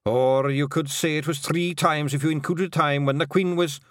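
A man's voice: fairly high pitch, fairly even intonation, normal speed, a bit bright, no room sound, clean studio sound, pitched above the performer's own voice, close to an everyday voice. Male reader as a fairy clan's bard: careful, precise, slightly raised. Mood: explaining.

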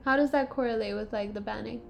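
High-voiced, inquisitive woman podcast host. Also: fairly even intonation, fast talker, gravelly, slight background noise. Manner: informal, inquisitive, reactive. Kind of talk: casual chat, asking questions.

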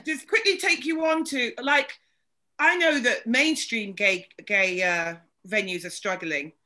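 A woman's voice: a little high, a little slow, bright, forceful tone. A woman hosting a casual video-call chat, talking loosely with lots of fillers. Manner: chatty, loose with many fillers. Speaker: host.

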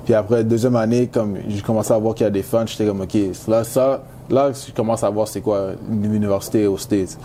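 A man's voice: lowish voice, fairly even intonation, a little fast, few pauses, warm tone, a little hiss or hum. A young man from Montreal, a native English speaker with a Quebec accent, chatting casually in an interview and peppering his French with English slang. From Montreal, Quebec, Canada. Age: young adult. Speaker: university football player, interview guest.

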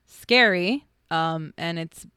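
Woman podcast host, lowish voice, chatty, dry close sound. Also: slow pace, bright, forceful tone, clean tone, dry recording. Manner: chatty, casual, uses fillers. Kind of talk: casual podcast hosting.

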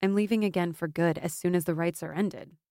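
Female narrator as an exiled warrior noblewoman, proud, firm, wry, lowish voice, fantasy audiobook. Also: brisk, mellow, no echo, clean studio sound, close to an everyday voice.

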